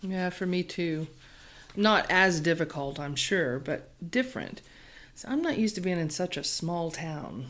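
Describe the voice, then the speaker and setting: A woman's voice: lowish voice, expressive, a little slow, a bit bright. An actress playing a friendly young female college student from Texas with a Texan accent, talking in a chatty, hesitant way.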